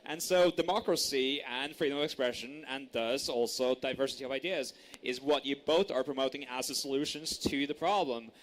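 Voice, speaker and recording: A man's voice: medium pitch, a little fast, poor audio. A man in a panel discussion, speaking in a conciliatory way. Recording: clear ambient noise behind voice.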